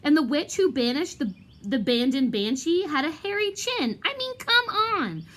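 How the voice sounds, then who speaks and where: A vain male wizard professor from a storybook, acted out by a woman, evasive and self-important. The voice sits fairly high and swoops about, pompous yet hesitant. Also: very animated, normal speed, fairly bright tone. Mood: pompous, boastful.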